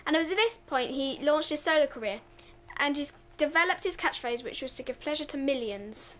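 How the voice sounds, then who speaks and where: A high voice of a young woman plays an earnest roving reporter. The delivery is chatty, in the style of a mock news report in a radio play. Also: lively intonation, fairly quick, fairly bright tone. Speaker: drama student.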